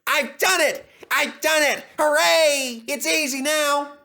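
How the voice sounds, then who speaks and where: A man voicing a proud, eager young steam engine that talks in a determined, excited way. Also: very high voice, takes it slowly, vocal fry, voice pushed up, heavily acted. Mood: straining, triumphant.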